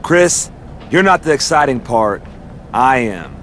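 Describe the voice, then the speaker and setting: A man's voice: medium pitch, very animated, takes it slowly, a bit bright, a little hiss or hum. A man playing a vain, self-satisfied pro wrestler in a video game, smug and needling. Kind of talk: scripted video game cutscene acting.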